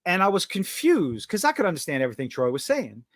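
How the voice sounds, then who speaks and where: A man, hosting a casual livestream chat and telling stories with lively gestures in his voice. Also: sits high, fast, no room sound. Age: 50s. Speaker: podcast host.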